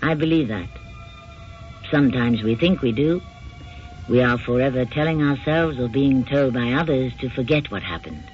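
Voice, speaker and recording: A man's voice: mid-range voice, animated, moderate pace, faint music behind. A man hosting an old-time radio drama, narrating in an emphatic, theatrical, philosophical manner. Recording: dull old radio recording.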